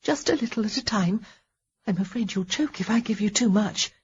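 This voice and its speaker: A woman reading a storybook, voicing a tender, flustered young woman with a breathy voice who fusses nervously with a slight tremble. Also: medium pitch, normal speed, highs cut off, pitched above the performer's own voice. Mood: coaxing, fussing. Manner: fussing, nervous chatter with a tremble. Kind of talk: audiobook character voice.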